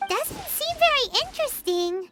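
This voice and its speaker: A woman voicing a small, childlike female fairy companion in an anime game, chirpy, curious and blunt, with a squeaky voice. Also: big pitch swings, normal speed, very noisy. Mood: perky. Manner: speaks of herself in third person, perky. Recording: loud game music behind.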